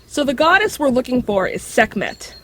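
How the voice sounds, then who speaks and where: A woman's voice: high-pitched, very animated, normal speed. A woman playing a clever talking dog who explains myths and reassures friends in an audio drama. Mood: explaining. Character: clever talking dog companion, confident guide on mythology. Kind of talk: acted audio drama dialogue.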